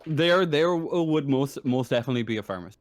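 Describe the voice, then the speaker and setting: A man's voice: mid-range voice, expressive. A man running a tabletop game as game master, improvising and stammering as he rephrases himself. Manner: halting, stammering, rephrasing often.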